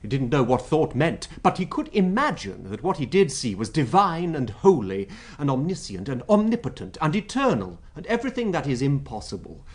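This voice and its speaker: A man's voice: a little high, sing-song, moderate pace, hardly any pauses. A man acting in a radio drama with a British accent, playing a talkative, wryly amused royal secretary with an expansive, emphatic, rhetorical manner.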